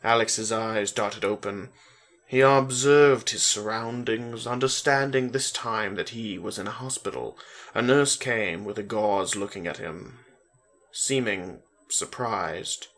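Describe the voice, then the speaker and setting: A man narrating a story as a slow, deliberate dramatic reading. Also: on the low side, fairly bright tone.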